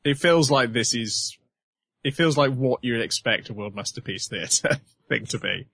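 Casting note: male podcast host, mid-range pitch, dry close sound, relaxed and chatty. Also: measured pace, gravelly, breathy edge, dry recording. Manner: chatty, steers the topics, laughs often. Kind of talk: casual chat about animation.

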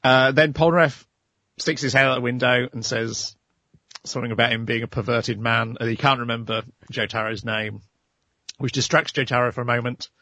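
A man's voice: mid-range voice, steady tone, normal speed, pushed and bright, dry recording, poor audio. Male podcast host, casual wry recap, slight voice loss, dry close sound. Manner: wry, giggly storytelling. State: losing his voice. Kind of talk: casual chat.

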